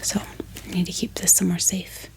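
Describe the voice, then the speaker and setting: A woman speaking in a soft, gentle, unhurried ASMR whisper, calmly showing things to her viewers. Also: fairly low pitch, rough recording. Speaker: ASMR book vlogger. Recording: steady background hiss, heater hum, clicks. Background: book tapping, clicking, heater running.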